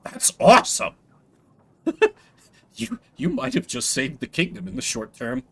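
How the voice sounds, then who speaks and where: A man giving expressive commentary as he plays and voices a game on stream. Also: medium pitch, unhurried, vocal fry, husky. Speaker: game streamer voicing all characters.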